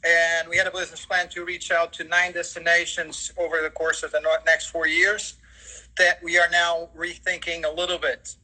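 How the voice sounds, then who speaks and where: Bright-voiced Portuguese man, higher-pitched. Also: flat delivery, moderate pace, poor audio. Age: 50s. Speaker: guest, sports events general manager. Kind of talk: interview answers on a livestream.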